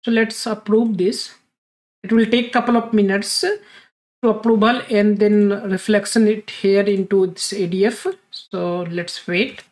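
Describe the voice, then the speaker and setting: A woman talks through a software tutorial as she clicks along, in a voice of ordinary middle pitch. She thinks aloud and narrates each step, and her English phrasing is slightly non-standard. It sounds like a plain voice-over recorded on a desktop mic. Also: fairly even intonation, a little slow. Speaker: tutorial presenter.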